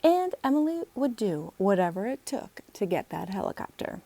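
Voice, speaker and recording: Mellow woman narrating a children's storybook. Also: mid-range voice, big pitch swings, moderate pace. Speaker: author reading aloud.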